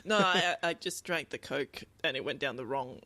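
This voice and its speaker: A woman's voice: deep voice, normal speed, pushed and bright, no room sound. A woman in her thirties co-hosting a podcast in casual chat. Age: 30s. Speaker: podcast co-host.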